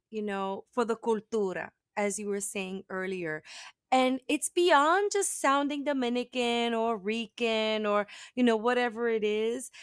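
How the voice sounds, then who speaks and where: A high-voiced woman from Brooklyn with a clear New York accent talks in an animated, laughing way. She acts out little exchanges as she goes. The sound is dry and close. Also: no room sound. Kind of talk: casual podcast chat.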